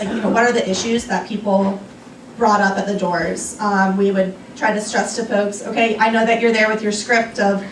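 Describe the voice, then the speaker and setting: A woman's voice: mid-range voice, far from the mic, slight background noise. Young woman speaking in an echoey room. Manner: thoughtful, many ums and restarts. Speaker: guest speaker on a young activists' group. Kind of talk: answering audience questions at a luncheon. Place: restaurant meeting room. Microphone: room recording from a distance.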